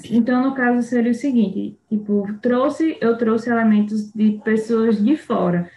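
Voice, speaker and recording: A woman's voice: fairly high pitch, fairly even intonation, measured pace, mellow, reverberant, slight background noise, rough recording. A Brazilian woman, a student, asking her professor a hesitant question during an online class.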